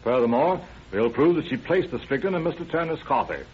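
A man's voice: mid-range voice, lively intonation, a little fast, natural voice. Male actor as confident American prosecutor, combative, emphatic, formal, 1930s radio acting, muffled recording, faint hiss. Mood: confident, insistent.

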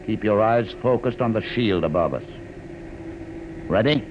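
An actor playing a calm, condescending older man who holds a prisoner aboard a starship, speaking in a measured, commanding way in an old radio drama. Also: fairly low pitch, dull audio. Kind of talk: 1950s radio drama acting. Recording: vintage radio broadcast with hiss.

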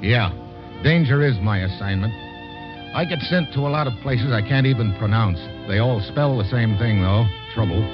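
An actor playing a tough, wry American government troubleshooter in a 1950s radio drama. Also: male voice, medium pitch, moderate pace, lightly acted. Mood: wry, hard-boiled. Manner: wry, wisecracking delivery. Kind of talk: 1950s radio drama acting and first-person narration. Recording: muffled vintage radio recording with music.

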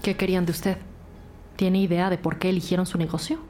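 An actress playing a brisk, firm police detective in a scripted audio drama. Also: female voice, fairly low pitch, little pitch movement, mellow, a little hiss or hum, close to an everyday voice. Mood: firm, questioning.

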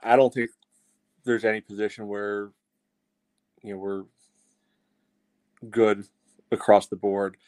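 A man co-hosting a soccer fan show, giving casual analysis and measured, qualified opinions. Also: lowish voice, level tone, darkish tone, no echo.

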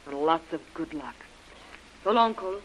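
An actor playing a self-assured, seasoned adventurer in an old-time radio drama, confident and unhurried. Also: male voice, fairly high pitch, animated, a bit bright, slight background noise. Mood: calm, confident. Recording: dull old radio broadcast.

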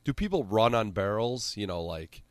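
Low, clear Midwestern guy talking sports. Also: very animated, moderate pace, dry recording. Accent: central Illinois. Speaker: sports podcast host. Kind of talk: casual sports banter.

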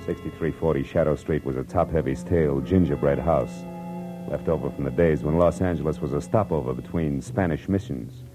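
A man's voice: rumbling low, brisk, over loud music. A man playing a tough, wry American private detective in 1940s radio noir style, with clipped, slangy delivery. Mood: wry narrating. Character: hard-boiled male private detective, tough, wry, world-weary. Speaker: detective narrator and lead. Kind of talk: 1940s radio noir acting and first-person narration. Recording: noisy vintage radio recording.

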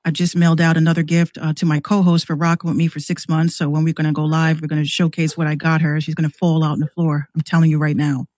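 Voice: mid-range voice, level tone, fast talker, hardly any pauses, a little mellow, no echo. A podcast guest who hosts a show, talking casually and at length in a rambling, conversational way.

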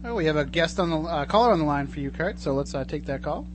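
A man's voice: on the high side, lively intonation, fast. A man hosting a radio show, chatting casually with guests and callers. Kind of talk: casual interview chat. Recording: clear background noise and hum.

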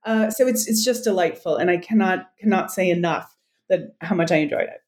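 A woman's voice: lowish voice, brisk, a little mellow. Effusive woman podcast host. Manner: effusive, enthusiastic praise. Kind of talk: interview podcast.

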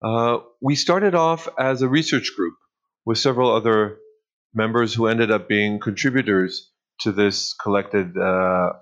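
Man with a light Israeli accent, low-pitched. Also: lo-fi sound. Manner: thoughtful, hesitant with pauses. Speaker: guest scholar. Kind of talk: interview answers.

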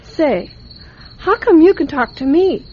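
Curious little girl, high and unhurried, wide-eyed and trusting, radio drama with some background hiss. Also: lively intonation. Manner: wide-eyed, earnest, childlike chatter. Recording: dull, noisy radio drama recording.

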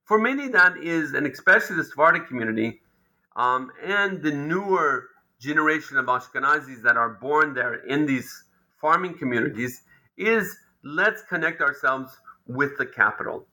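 Bright-toned male historian explaining. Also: on the high side, expressive, normal speed, low-quality recording. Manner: thoughtful, explanatory, with frequent ums and restarts. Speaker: historian and lecturer. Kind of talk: academic interview answer.

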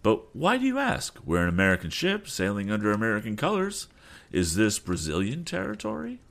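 A man's voice: lowish voice, wildly swooping pitch, a little fast, vocal fry, fairly bright tone, voice pushed up, heavily acted. Man acting proud, emphatic American sea captain. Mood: calm, guarded. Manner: indignant, emphatic protesting. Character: mild-mannered sea captain turned indignant, proud and defiant.

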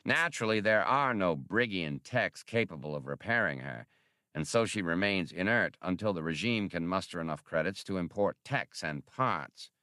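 A man's voice: low-pitched, animated, bright, forceful tone, dry recording, studio quality. Man voicing a solemn, courteous alien scholar. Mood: solemn, explaining. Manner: formal, measured, earnest. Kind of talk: audiobook character reading.

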